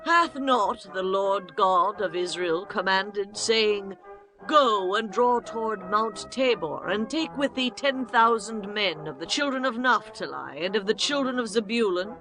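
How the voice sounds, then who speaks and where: A woman's voice: medium pitch, expressive, unhurried, pushed and bright, soft background music, poor audio. A woman playing a commanding biblical woman in a dramatized scripture reading, solemn and declamatory with archaic phrasing. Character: commanding biblical prophetess and tent-dwelling woman.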